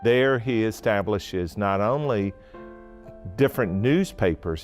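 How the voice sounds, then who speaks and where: A man's voice: lowish voice, darkish tone. A man giving explanatory interview talk as a history expert in a documentary.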